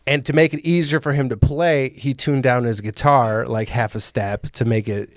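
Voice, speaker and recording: A man's voice: medium pitch, fairly even intonation, moderate pace, dry recording. Rambling, jokey male podcast host. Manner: rambling, jokey, nerds out on music. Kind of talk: casual podcast chat.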